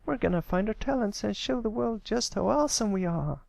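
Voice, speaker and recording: Man doing a drawling, high little filly. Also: lively intonation, a little fast, vocal fry, darkish tone, no room sound, heavily acted. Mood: excited. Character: plucky, matter-of-fact country filly pony.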